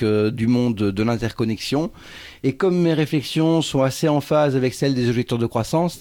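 A man's voice: mid-range voice, warm tone. A man from Belgium, an interview guest explaining himself and thinking aloud as he talks. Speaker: interview guest, union trainer and author. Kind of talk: unscripted interview talk.

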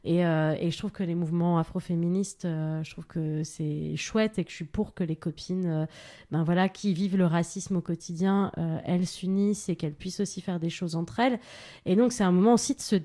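A woman's voice: on the low side, steady tone, brisk, dark tone. A woman speaking on a radio panel, explaining her points earnestly and hesitating often with filler sounds. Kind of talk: radio discussion.

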